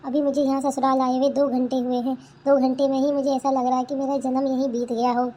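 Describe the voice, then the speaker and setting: Sassy young newlywed for a cartoon comedy, played by a woman: high voice, indignant back-talk, noisy recording. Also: flat delivery, brisk, mellow, close to an everyday voice. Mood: exasperated. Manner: indignant, complaining, talks back. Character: sassy, defiant young newlywed daughter-in-law in a village. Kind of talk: Hindi cartoon family comedy dubbing.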